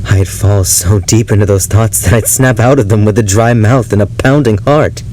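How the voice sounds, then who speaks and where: An actor playing an unhinged, obsessive ex-lover confessing darkly in an audio drama monologue, halting and brooding. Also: male voice, lowish voice, darkish tone, slight background noise, close to an everyday voice, rough recording. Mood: brooding, haunted. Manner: halting, brooding, breaking into unhinged laughter and intensity.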